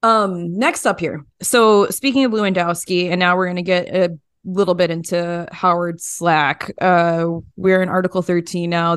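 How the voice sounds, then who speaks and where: A woman's voice: lowish voice, clear, resonant tone, no echo. A woman hosting a podcast interview, asking questions in a casual, informal, colloquial way. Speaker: podcast host.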